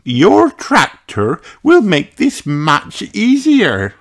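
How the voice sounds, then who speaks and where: Gentle old grandmother pig, slow and higher, from a male reader. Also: wildly swooping pitch, noisy background, heavily acted. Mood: kindly.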